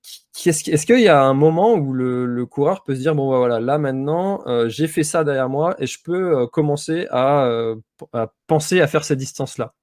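A man's voice: medium pitch, lively intonation, moderate pace, darkish tone, dry recording, studio quality. A man hosting a casual interview, asking questions hesitantly as he searches for his wording. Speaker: podcast host, interviewer.

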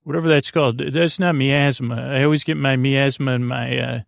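A man with a creaky voice hosting a bedtime podcast, rambling in an improvised, halting monologue that meanders and loses its thread. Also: mid-range voice, fairly even intonation, no echo, clean studio sound. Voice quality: creaky, gravelly. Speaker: podcast host.

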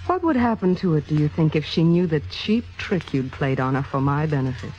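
An actress playing a young, ambitious, coolly calculating stage actress in a 1950s radio drama, poised and theatrical. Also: female voice, low-pitched, sing-song, brisk, darkish tone. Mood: coldly menacing. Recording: muffled vintage radio broadcast.

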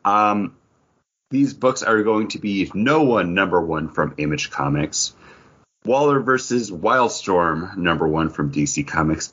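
A man hosting a comics podcast in casual chat, upbeat and quick to joke. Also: lowish voice, fairly bright tone, slightly rough. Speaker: podcast host. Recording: dull lo-fi audio.

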